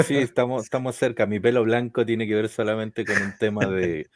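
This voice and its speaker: Mid 40s guy, lowish voice, dry sound, chatting as interviewer, casual. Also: level tone, a little fast, no room sound.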